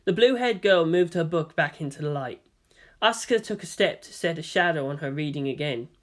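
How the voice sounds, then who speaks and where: A man reading fan fiction aloud as an expressive audiobook narrator. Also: on the high side, fairly quick.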